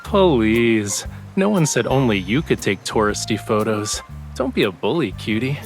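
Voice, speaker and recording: An actor playing an affectionate young male romantic lead in a game, with a soft, teasing, intimate manner. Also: on the low side, lively intonation, measured pace, faint music behind. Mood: playful teasing. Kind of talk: scripted romance game voice acting.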